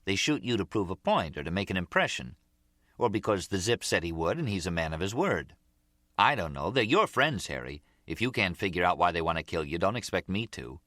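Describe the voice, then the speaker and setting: Man as a deep-voiced, blunt, level-headed adviser. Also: sing-song, a little fast, a bit bright, dry recording, studio quality. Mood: frank, weary. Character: blunt, matter-of-fact male adviser Torres, unsympathetic.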